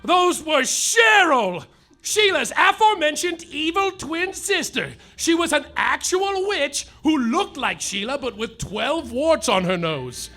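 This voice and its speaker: A melodramatic noir detective. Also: male voice, extremely high pitch, big pitch swings, takes it slowly, pushed and bright, exaggerated voice. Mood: boastful. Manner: melodramatic noir narration, overwrought. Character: hapless hard-boiled male private detective. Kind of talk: live comedy radio play. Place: theater stage, live.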